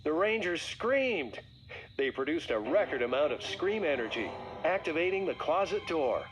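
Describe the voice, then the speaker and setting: A man narrating a scripted read-along story for children in a dramatic, expressive way. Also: a little high, normal speed. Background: music.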